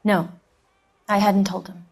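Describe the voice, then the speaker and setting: A woman's voice: medium pitch, little pitch movement, measured pace, vocal fry, slightly rough. A woman playing a cynical, defiant 1940s widow being questioned, in the sardonic style of a noir game drama. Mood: bitter.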